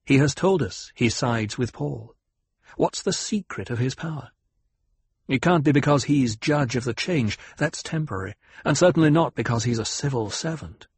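A man voicing a poised young noble lady who talks in a measured, sweetly diplomatic way. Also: medium pitch, expressive, slightly rough, dry recording. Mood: wary.

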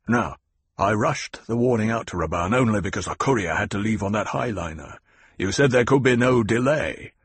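Narrator voices a domineering, petulant fat lord, demanding, husky, animated. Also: male voice, medium pitch, dry recording. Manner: blustering, demanding. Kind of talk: audiobook character voice.

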